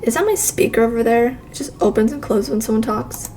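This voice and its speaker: A woman's voice: fairly high pitch, animated, fairly quick, mellow, slight background noise. A woman doing a casual livestream playthrough, reading game text aloud and adding informal, wry asides. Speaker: streamer playing and reacting to visual novels.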